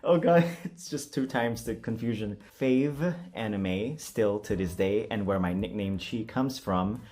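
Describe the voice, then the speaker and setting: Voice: medium pitch, a little slow, a little mellow. A vlogger chatting casually in a self-deprecating, hesitant, giggly manner. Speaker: vlogger doing a voice acting challenge. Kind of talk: casual, chatty vlog talk.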